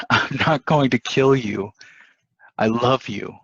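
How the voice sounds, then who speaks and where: A man's voice: mid-range voice, slow, fairly bright tone, husky, dry recording, dull audio, full character voice. A man playing a defensive, insistent cheating husband in a stage play reading. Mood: defensive, pleading.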